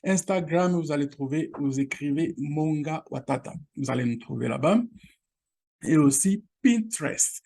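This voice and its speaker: A man whose first language is Lingala, hosting a casual screen-share tutorial, halting and restarting often, speaking straight to his viewers. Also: on the high side, vocal fry, mellow. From Congo region, Lingala first language. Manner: halting, with many restarts and fillers; direct appeals to viewers. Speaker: online course channel host.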